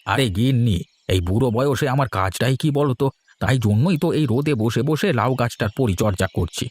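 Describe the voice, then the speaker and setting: A man's voice: mid-range voice, brisk, lightly acted. A man voicing a contented old village husband in a cartoon, affectionate and coaxing in the way he talks. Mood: fond, contented. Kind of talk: animated cartoon dialogue. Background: music.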